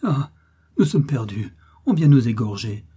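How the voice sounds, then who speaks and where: A man's voice: mid-range voice, lively intonation, moderate pace, dark tone, slightly rough, voice pushed up. Man voicing a terrified, pleading peasant mother. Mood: panicked. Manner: trembling, imploring exclamations.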